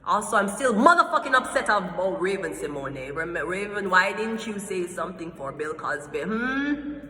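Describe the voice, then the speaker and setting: A woman's voice: medium pitch, normal speed, echoey room, big-room sound. A Jamaican woman ranting casually and bluntly, swearing freely and acting out little exchanges as she talks. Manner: blunt, profane, animated storytelling with mimicked exchanges. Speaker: vlogger. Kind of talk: casual rant to camera.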